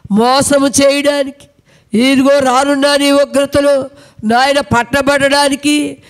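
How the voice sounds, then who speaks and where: Very high-voiced man praying in monotone. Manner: repetitive, pleading, steady chanting cadence. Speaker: prayer leader. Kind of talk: spoken prayer.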